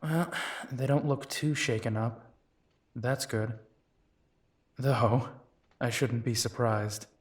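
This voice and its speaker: A man's voice: mid-range voice, slow pace, long pauses, breathy edge, no room sound. A man playing a young, protective and determined werewolf in an audio roleplay, speaking earnestly. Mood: teasing, fond.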